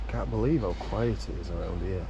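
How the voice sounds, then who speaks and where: A man with a gravelly, rough voice, chatting casually and wryly as he vlogs a day trip around a town, cracking small jokes. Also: deep voice, fairly quick, lo-fi sound. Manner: chatty, wry, joking asides. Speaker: travel vlogger. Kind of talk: casual vlog commentary. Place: outdoors in a town by a river and in a vehicle. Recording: outdoor street and traffic noise.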